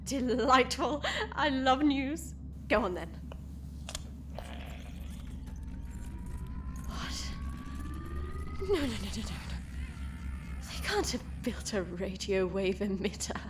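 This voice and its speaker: A woman plays a vain, scheming empress in an audio drama, high-voiced and wildly swinging in pitch as she gloats. She takes her time, savouring every word, and a steady hiss sits under the home recording. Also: takes it slowly, heavily acted. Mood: mocking. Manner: theatrical gloating, sudden outbursts. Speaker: voice actor. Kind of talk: hobby audio drama acting.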